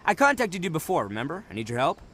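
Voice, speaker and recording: A man's voice: a little high, wildly swooping pitch, fast talker, a bit bright, exaggerated voice. An actor playing a brash, cocky male oni gang leader in a video game, talking with swagger.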